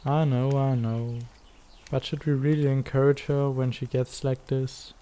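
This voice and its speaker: A laid-back teenage schoolboy acted by a man in a comic drama. His voice is soft-edged and dark, and his delivery stays fairly level. He sounds dry and sarcastic, a skeptic who still cares. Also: medium pitch, flat delivery, unhurried, clear, resonant tone, natural voice. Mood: concerned, challenging. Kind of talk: fan dub comic drama acting.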